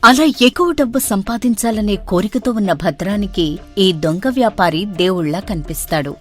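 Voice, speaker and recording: A woman's voice: mid-range voice, brisk, faint music behind. A woman reading scripted narration for a moral story as its narrator.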